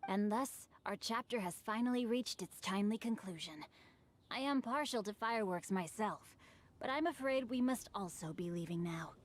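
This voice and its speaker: A woman's voice: mid-range voice, moderate pace, a little hiss or hum, natural voice. A woman voicing a polite, slyly composed young scholar boy in a video game, speaking in a formal, eloquent way. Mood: courteous, composed. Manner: formal, eloquent, proverb-quoting. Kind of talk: anime-style video game acting.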